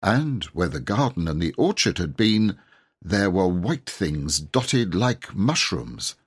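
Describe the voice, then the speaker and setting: Male storybook narrator: lowish voice, lively melodic range, measured and dramatic delivery. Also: slightly rough. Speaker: audiobook narrator.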